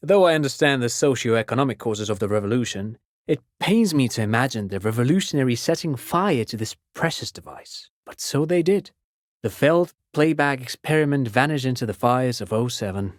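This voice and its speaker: A man's voice: mid-range voice, animated, brisk, no room sound, clean studio sound, close to an everyday voice. A male actor playing a polite, enthusiastic, pedantic intellectual in a video game, explaining things in an erudite, courteous way. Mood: wistful, regretful. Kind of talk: video game dialogue acting.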